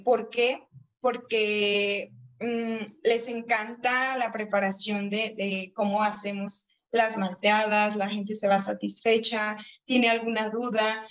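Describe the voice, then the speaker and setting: A woman's voice: medium pitch, dull audio. A woman in her late thirties giving a chatty motivational training talk over a video call, often asking and answering her own questions. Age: 30s. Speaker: network marketing trainer.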